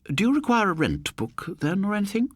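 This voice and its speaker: Man voicing a fussy, halting old English landlady, pitched up. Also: sing-song, dry recording, clean studio sound. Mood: politely prying. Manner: halting, stammering. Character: fussy, hesitant elderly English landlady, timid and genteel.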